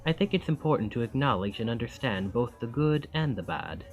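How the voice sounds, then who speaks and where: A man's voice: mid-range voice, expressive, a little fast, soft background music, natural voice. A man acting a charming, friendly young college student in an intimate ASMR roleplay, with a self-deprecating, thoughtful and wry manner.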